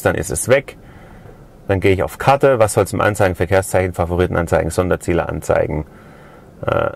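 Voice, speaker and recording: A man with a Swabian German accent giving a chatty step-by-step walkthrough inside a parked car, recorded by a camera mounted in the car. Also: fairly low pitch. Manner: chatty, explains step by step with tag questions. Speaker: car reviewer. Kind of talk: casual car review walkthrough.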